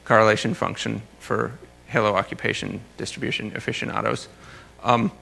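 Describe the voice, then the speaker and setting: A man's voice: lowish voice, steady tone, a little fast, gravelly, sharp tone, a little hiss or hum, poor audio. A man giving an informal research lecture, explaining technical material with careful hedges and wry, dry asides. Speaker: astronomer giving a research talk.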